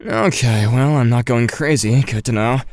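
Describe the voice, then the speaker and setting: A man's voice: medium pitch, normal speed, dry recording, close to an everyday voice. A man playing an anxious, skeptical teenage boy with psychic powers in an audio drama, with a hesitant, wry delivery. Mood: urgent. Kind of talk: audio drama dialogue and first-person narration.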